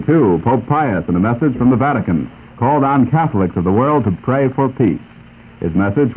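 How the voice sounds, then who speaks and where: Male American news reader, late-1940s radio style, slightly husky, on a dull lo-fi acetate recording. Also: mid-range voice. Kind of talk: 1940s radio newscast, scripted reading.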